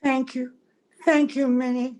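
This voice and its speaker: A woman playing a weary, serene dying woman in a radio play, tender and halting, with a soft creaky voice. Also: sits high, level tone, very noisy. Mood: tired, serene. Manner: halting, repeating phrases tenderly. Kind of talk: old-time radio play acting.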